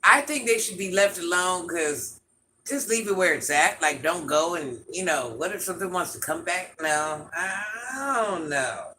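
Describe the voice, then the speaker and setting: A man with an itchy, scratchy throat, hosting a livestream in a grandmother persona and chatting through viewer comments with quick laughs and asides. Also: high voice, lively intonation, bright, forceful tone. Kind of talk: casual live chat answering viewer comments.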